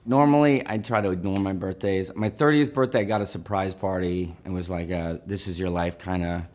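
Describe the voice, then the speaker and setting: A man in his thirties from Northern California, telling relaxed stories in an interview with lots of fillers. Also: sits low, brisk. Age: 30s. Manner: relaxed storytelling with frequent fillers. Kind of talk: casual anecdotal interview.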